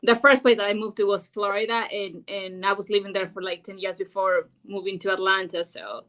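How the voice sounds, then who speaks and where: A young adult woman with an Ecuadorian Spanish accent, a guest journalist chatting in a relaxed, earnest way with many fillers and restarts. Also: medium pitch, brisk. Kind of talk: casual interview chat.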